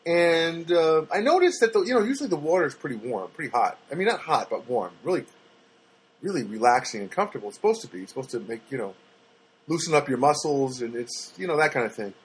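A man's voice: on the high side, sing-song, fast, husky, low-quality recording. A man telling stories in a casual, rambling and sarcastic monologue, with many fillers and restarts.

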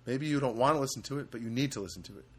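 A man's voice: medium pitch, fairly bright tone, slightly rough. Rambling man hosting a podcast. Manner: rambling, halting with many fillers. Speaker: podcast host. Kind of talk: casual solo podcast monologue. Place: studio apartment.

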